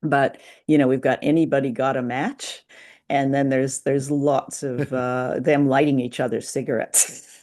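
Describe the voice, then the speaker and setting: A woman hosting a casual podcast discussion, chatty and deliberate, pausing often as she talks. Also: rumbling low, flat delivery, darkish tone. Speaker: podcast host. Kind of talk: casual conversational discussion of old radio drama.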